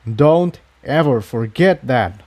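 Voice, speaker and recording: Man playing a gentle but firm male pony. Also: medium pitch, expressive, takes it slowly. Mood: firm, reassuring. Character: kind, steady male pony, loyal friend.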